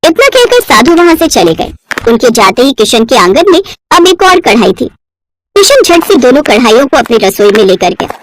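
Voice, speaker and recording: Female storyteller, high pitch, expressive scripted narration, slight background noise. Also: moderate pace, poor audio.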